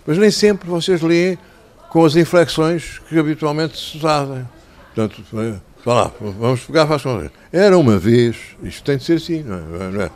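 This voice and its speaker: Slightly hoarse older Portuguese man. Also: medium pitch, darkish tone. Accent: European Portuguese. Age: 60s or older. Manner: didactic, conversational, repeats phrases for emphasis. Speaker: children's author. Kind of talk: talking and telling stories to schoolchildren. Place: covered outdoor tent in a town square. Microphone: handheld microphone.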